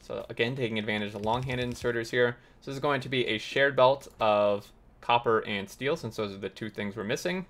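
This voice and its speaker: Guy doing a game tutorial, kinda gravelly and a bit raspy, lots of uhs, keyboard clacking behind. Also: medium pitch, fairly quick, fairly bright tone. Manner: step-by-step, thinking aloud with many uhs. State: slightly raspy, losing his voice. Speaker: gaming tutorial host. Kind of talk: explaining gameplay while playing.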